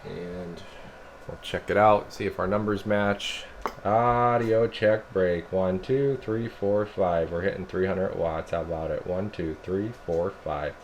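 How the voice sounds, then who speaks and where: Deep american guy doing a casual tech demo, lots of background noise. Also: takes it slowly. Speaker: CB radio technician demonstrating equipment. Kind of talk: casual hands-on demonstration. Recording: steady noise behind the voice. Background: clear background noise.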